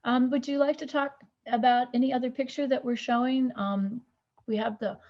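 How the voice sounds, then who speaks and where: A woman's voice: high voice, fairly even intonation, normal speed, highs cut off. Encouraging woman moderating a panel. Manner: encouraging, many soft affirmations. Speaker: panel host.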